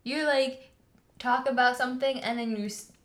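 A woman's voice: sits high, steady tone, moderate pace, a bit bright. A young woman co-hosting a podcast, chatting casually, teasing and giggling, with lots of "like". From Filipino family background. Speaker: podcast co-host. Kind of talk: casual chat.

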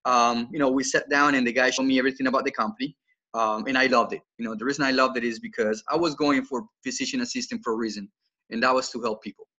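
A man's voice: medium pitch, steady tone, fast, pushed and bright, muffled recording. A man in his twenties from Colombia, speaking English with a Colombian Spanish accent, telling his story as a podcast guest in an earnest way, often repeating words as he restarts. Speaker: podcast guest, financial coach. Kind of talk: casual podcast interview.

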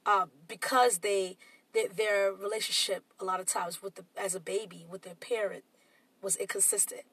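Congested nasal woman, gravelly and husky. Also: medium pitch, steady tone, normal speed, fairly bright tone, no echo, distant mic. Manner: reflective, explains at length. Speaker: podcast co-host. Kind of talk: casual chat.

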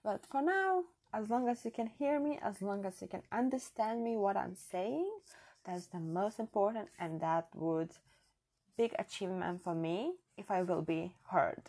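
A woman's voice: medium pitch, normal speed, darkish tone. Earnest woman with a European accent. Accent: non-native European accent in English. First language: not English. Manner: earnest, chatty, reflective. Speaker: podcast host. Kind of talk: casual solo podcast monologue. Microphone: built-in device mic, no proper microphone.

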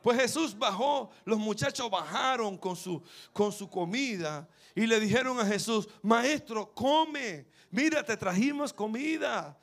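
A man's voice: high-pitched, lively intonation, measured pace, fairly bright tone. A man preaching a sermon, fervent and repetitive, building to emphatic peaks. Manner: fervent, rising to emphatic peaks, repeats phrases.

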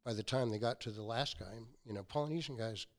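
A man's voice: mid-range voice, fast talker. A man in his sixties, a company founder, telling stories as a guest and acting out the quotes in them. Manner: animated storyteller acting out quotes. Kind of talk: casual storytelling.

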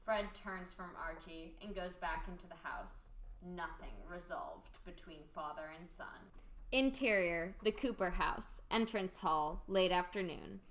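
A woman narrates a radio play, reading out the scene settings and stage directions. Her voice is a little low. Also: measured pace, a bit bright.

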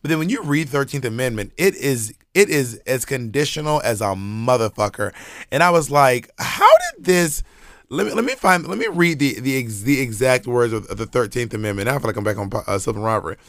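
A man's voice: mid-range voice, animated, brisk, a bit bright, no echo, clean studio sound. A man, chatting casually on a podcast and telling loose, rambling stories with slang. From Georgia, US. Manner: loose, rambling storytelling with frequent restarts and slang. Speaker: podcast co-host.

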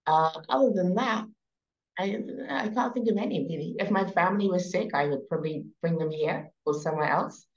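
A woman with a London accent, in her thirties, chatting as a podcast guest in a relaxed way with many ums and soft trailing endings. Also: fairly low pitch, brisk, dull audio. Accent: British, London. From England. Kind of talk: casual podcast interview.

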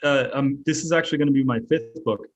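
A man, German his first language, talks at a middle pitch in loose, rambling sentences, circling back with fillers and restarts as he thinks aloud. The sound is a little muffled and lo-fi. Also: fast. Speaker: author and artist guest. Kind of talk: casual interview talk.

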